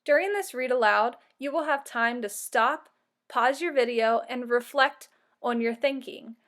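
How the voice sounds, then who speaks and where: A woman's voice: fairly high pitch, measured pace, a bit bright. A woman narrating a children's read-aloud like a teacher, giving instructions and asking questions.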